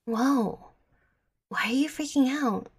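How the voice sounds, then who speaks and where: A woman's voice: high voice, takes it slowly, slightly rough, dry recording, studio quality, full character voice. A woman playing a proud, combative young fairy in an audio roleplay, brash and sarcastic. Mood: scornful.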